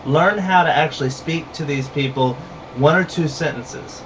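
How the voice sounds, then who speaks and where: A man's voice: fairly high pitch, normal speed, recorded from a distance, background noise. A man with a Southern US accent, ranting bluntly and saltily to camera in a heated monologue. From rural southern United States. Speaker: vlogger.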